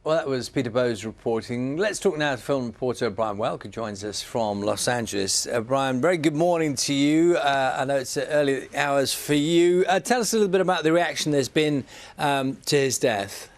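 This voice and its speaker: A man's voice: mid-range voice, normal speed, no room sound. A man presenting live news and putting questions to guests. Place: dry broadcast studio.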